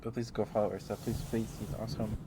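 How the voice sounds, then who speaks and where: Guy doing asmr stream chat, kinda low voice, playful rambling, pretty noisy background. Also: little pitch movement, fast talker. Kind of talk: ASMR, casual rambling chat to live viewers.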